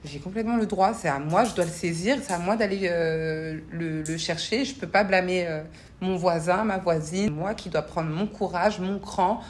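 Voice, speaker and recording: A woman's voice: lowish voice, normal speed, slight background noise. A woman giving reflective interview answers in an earnest, searching manner, often repeating words. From grew up between French and Congolese cultures. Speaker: interview guest, parliamentary assistant and association founder.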